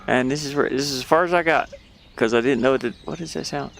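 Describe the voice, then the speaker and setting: A man's voice: mid-range voice, fast, vocal fry, a bit bright, slight background noise. A man giving casual gameplay commentary, puzzled and muttering to himself as he thinks aloud. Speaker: gamer playing and commenting on a game.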